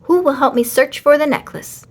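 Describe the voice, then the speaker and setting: A woman voicing an eager, decisive male mouse detective with a brisk, commanding manner. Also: high voice, voice pushed up.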